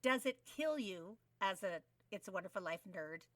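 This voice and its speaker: A middle-aged woman speaks in a bright, forward voice as a podcast co-host. She asks questions casually and playfully. The sound is dry, though she sits a bit away from the mic. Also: mid-range voice, animated, normal speed, no room sound, distant mic.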